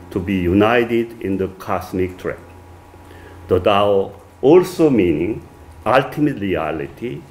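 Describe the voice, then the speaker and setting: A middle-aged man with a strong Korean accent giving an academic lecture at a podium, reading from a manuscript with many halting "uh" fillers. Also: fairly low pitch, darkish tone, slight background noise. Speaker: visiting lecturer. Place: wood-paneled reception room, indoors.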